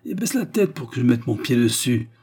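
A man's voice: mid-range voice, moderate pace, dark tone, breathy edge, close to an everyday voice. A man voicing a blind, brutal commissar in a story, vengeful, domineering and exclamatory, with sneers and rage. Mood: bitter fury.

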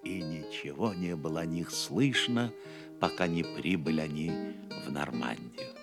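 A man narrates an old legend in a dramatic, scripted storytelling style. His voice is very low, and faint plucked-string music plays behind him. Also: lively intonation, unhurried.

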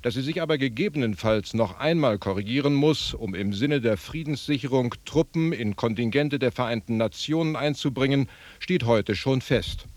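A man's voice: medium pitch, moderate pace, no echo. A man reading a scripted political news report as a radio correspondent.

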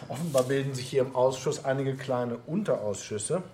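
A male committee chair played by an actor speaks at a middle pitch with faint noise behind. He is formal and reproving, running a meeting in a radio play. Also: expressive, moderate pace, dark tone, natural voice. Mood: stern, procedural.

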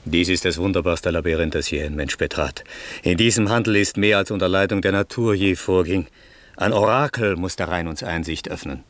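A noble older king played by a man with a low voice and a solemn, declamatory delivery. He sounds like a deeply feeling father in a classic radio drama, captured dry and close. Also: fairly even intonation, fairly quick, dry recording, lightly acted. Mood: awed. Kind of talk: classic German radio play acting, verse.

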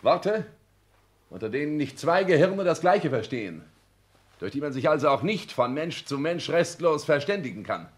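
An actor playing a pompous, self-important husband in a 1960s German radio play, declaiming and lecturing with affected French phrases. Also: male voice, on the high side, sing-song, normal speed. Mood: pedantic, lecturing.

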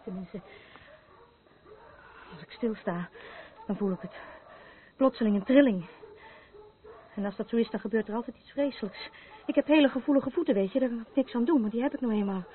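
Een actrice die in een hoorspel een getroebleerde, angstige vrouw speelt die zichzelf als nachtwaakster en onheilsprofeet ziet, ernstig en ratelend van gedachte naar gedachte. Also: female voice, a little high, brisk, pauses often, natural voice. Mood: eerie, earnest. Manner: rambling, earnest, haunted. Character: troubled, fearful woman, self-appointed night watcher and doomsayer. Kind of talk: radio play acting. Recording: muffled phone-like sound with background noise.

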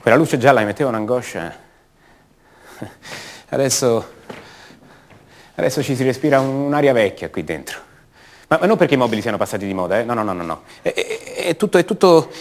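An actor playing an uneasy, brooding, paranoid man in a halting, word-repeating radio drama monologue. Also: mid-range voice, animated, natural voice. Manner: halting, stammering, repeats words. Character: uneasy, brooding grown son, increasingly paranoid and agitated. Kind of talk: radio drama monologue, naturalistic theatrical acting.

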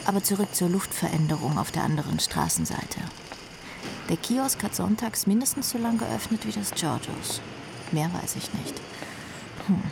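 An actress in a radio drama playing a wry young woman who narrates with dry irony in a creaky voice. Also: medium pitch, expressive, normal speed, noisy background. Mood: sardonic. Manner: dry, ironic. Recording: loud music under narration.

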